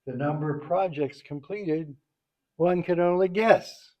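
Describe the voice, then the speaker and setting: A man reads a rhyming tribute aloud in a mellow, slightly high voice. His delivery is slow and halting, with the odd stumble. The event microphone gives the sound a muffled edge. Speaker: club member reading a tribute poem at a banquet. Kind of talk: reading a rhyming poem aloud. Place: banquet event, speaking to a group.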